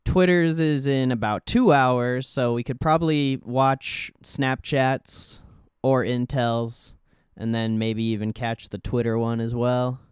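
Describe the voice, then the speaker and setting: Male streamer for casual live commentary; informal and slangy, slow delivery, dry close-miked sound. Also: medium pitch, fairly even intonation, smooth, no room sound. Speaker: livestream host.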